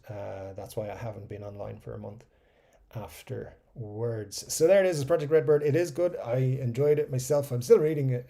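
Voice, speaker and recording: A man's voice: medium pitch, warm tone. A man with an Irish accent hosting a casual livestream, reading out viewer comments and chatting loosely, swearing now and then and trailing off into mumbles. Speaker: livestream host.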